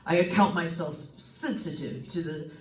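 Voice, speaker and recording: A woman's voice: fairly low pitch, moderate pace, close to an everyday voice. A solemn psychic doctor played by a woman speaks with formal, portentous gravity in a radio suspense play. The voice sits back from the microphone in a roomy, echoing space. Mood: grave, formal. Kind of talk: old-time radio suspense drama acting. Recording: roomy, distant stage microphone pickup.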